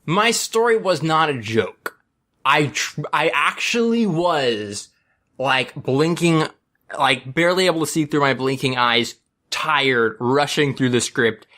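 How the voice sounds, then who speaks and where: A man's voice: on the high side, animated, slow, bright, forceful tone. A man co-hosting a casual podcast over an online call, explaining things in a rambling, playful, mock-serious way. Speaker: podcast host. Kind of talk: casual group chat.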